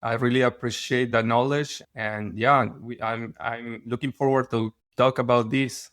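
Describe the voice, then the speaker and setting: Peruvian-accented man, halting and conversational. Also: medium pitch, steady tone, fairly bright tone, dry recording, studio quality. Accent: Peruvian Spanish. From Peru. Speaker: podcast guest, physician. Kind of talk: conversational interview.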